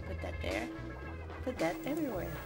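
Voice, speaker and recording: A woman's voice: fairly low pitch, huge pitch swings, brisk, vocal fry, over loud music. A young woman with a stuffy nose from a cold, chatting and giggling through casual gameplay commentary as a let's play host. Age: young adult. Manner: giggly, chatty, swears mildly.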